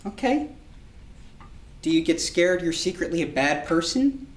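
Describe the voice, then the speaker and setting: A low-pitched, patient female child psychiatrist acted by a woman in a staged drama. She speaks in a measured, reassuring, professional way. The sound is distant, with strong room echo. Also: animated. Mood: gently probing. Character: calm, patient child psychiatrist questioning a young patient. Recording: distant, echoey room recording.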